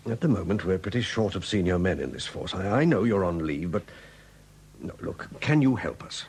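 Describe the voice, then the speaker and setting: A senior chief constable from a 1970s radio play, very deep and animated. He is courteous but harried and frank, on a slightly noisy old broadcast recording. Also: moderate pace. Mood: harried, exasperated. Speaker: actor in a radio drama. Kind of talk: 1970s radio drama acting.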